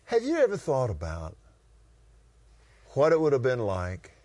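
A man from West Texas with a West Texas accent, teaching the Bible in a conversational preaching style with drawn-out, emphatic phrasing. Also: mid-range voice, wildly swooping pitch, a little mellow.

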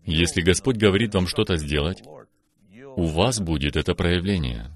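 Very deep-voiced preaching man. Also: normal speed. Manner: affirming, steady, frequent short exclamations of praise. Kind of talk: preaching conversation.

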